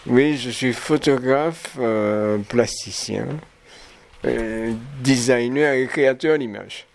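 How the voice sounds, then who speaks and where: A man's voice: mid-range voice, slow, vocal fry, slight background noise. A man in his seventies from Martinique, a photographer answering interview questions with many hesitations and restarts. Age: 70s. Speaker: photographer guest.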